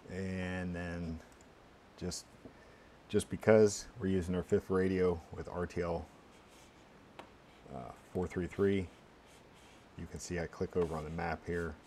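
Low-voiced man thinking aloud. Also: level tone, warm tone, slight background noise. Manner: halting, unscripted, muttering through steps. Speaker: tutorial presenter. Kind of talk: casual screencast walkthrough, thinking aloud.

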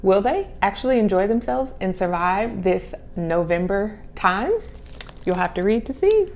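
A woman's voice: mid-range voice, lively intonation, unhurried, a little mellow, slight background noise. A middle-aged woman chatting casually to camera as a homeschooling vlog host, stretching and repeating key words for emphasis. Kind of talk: casual chat to camera, presenting children's books. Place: small home room with sloped ceiling. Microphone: clip-on lapel mic.

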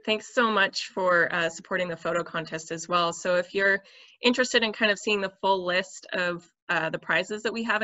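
A woman's voice: lowish voice, sharp tone, dry recording. A woman moderating a panel on a video call, introducing speakers in a friendly, orderly way. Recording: dull, dry video call audio.

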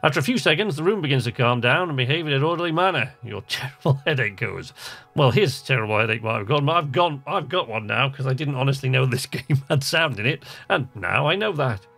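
A man's voice: mid-range voice, expressive, a little fast, gravelly, a bit bright, faint music behind. A man casually commentating on a game he plays, chatting and muttering to himself and reading on-screen text aloud. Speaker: let's play host.

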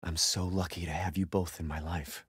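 An actor playing a gentle, affectionate father musing fondly about his baby daughter in a video game. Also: low voice, level tone, moderate pace, husky, long reverb tail. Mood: tender, devoted. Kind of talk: reflective video game voice acting.

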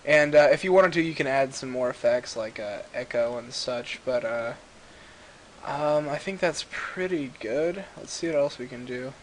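A man's voice: medium pitch, normal speed, low-quality recording. Man on a hissy computer mic. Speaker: tutorial host. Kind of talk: casual rambling software tutorial. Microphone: computer mic a little far away. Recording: clearly hissy amateur computer mic recording.

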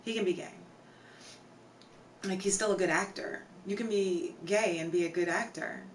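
A young woman with a hoarse, tired voice, chatting casually with her viewers on a home live stream and filling her talk with "like" and "um". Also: on the low side, moderate pace, distant mic, slight background noise, poor audio. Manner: chatty, reads out chat names, many fillers. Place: home streaming room with shelves.

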